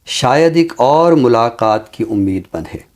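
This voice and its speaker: A man with a medium-pitched voice speaks on a literary programme. His delivery is deliberate and reflective. He phrases things for emphasis, as someone used to reciting verse would. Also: lively intonation, darkish tone. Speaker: literary talk show commentator. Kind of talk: reflective commentary and Urdu poetry recitation.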